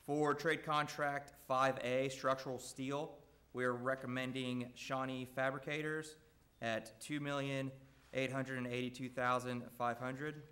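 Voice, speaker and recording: A man addresses a school board meeting, walking through construction bid recommendations. His voice is loud and his pitch stays very flat and level. He speaks steadily with plenty of "uh"s between figures. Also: measured pace. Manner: loud, steady, even delivery with frequent "uh". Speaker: construction company representative.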